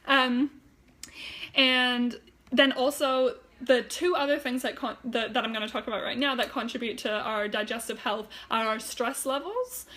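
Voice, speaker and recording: Woman with a slightly high voice, chatty. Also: fairly even intonation, normal speed, pushed and bright. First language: German. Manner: chatty, rambling, frequent fillers and restarts. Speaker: wellness vlogger. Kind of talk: casual live-stream chat to viewers.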